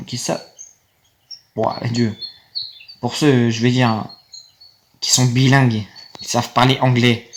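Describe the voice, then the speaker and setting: Young guy, rambling let's-play talk into a phone, light noise behind. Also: mid-range voice. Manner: rambling, easily annoyed, talks to viewers. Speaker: gaming video creator. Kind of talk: casual gameplay commentary.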